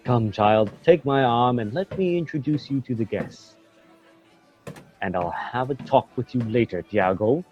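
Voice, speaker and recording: An actor playing a pompous, domineering friar in a radio drama, with a commanding manner. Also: male voice, mid-range voice, lively intonation, unhurried, muffled recording, faint music behind, close to an everyday voice. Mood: smooth, possessive.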